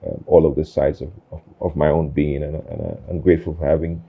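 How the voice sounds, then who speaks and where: A man hosting an interview, speaking thoughtfully and haltingly, with many fillers and restarts. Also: very deep voice, level tone, husky, phone-quality sound. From Nigeria. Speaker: podcast host. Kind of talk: reflective conversational interview.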